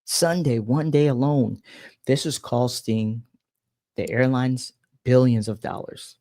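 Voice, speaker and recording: A man's voice: medium pitch, slow, warm tone, dry recording, clean studio sound. A man hosting a casual news commentary show, exasperated and emphatic as he rephrases his points.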